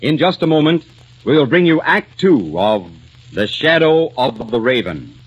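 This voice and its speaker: Husky, animated vintage radio announcer man. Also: medium pitch, a little slow. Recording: muffled old radio recording.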